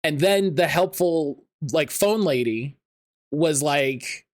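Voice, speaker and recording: A man hosting a casual chat interview, talking in a halting, rambling way and often interrupting himself. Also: on the high side, no echo, studio quality. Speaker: podcast host.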